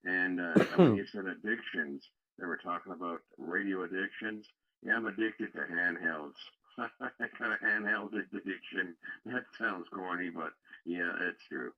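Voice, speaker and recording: A man chats casually over a radio net. His voice sits fairly low, and the audio is muffled and lo-fi. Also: measured pace. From Toronto, Canada. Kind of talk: casual chat. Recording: dull lo-fi radio app audio.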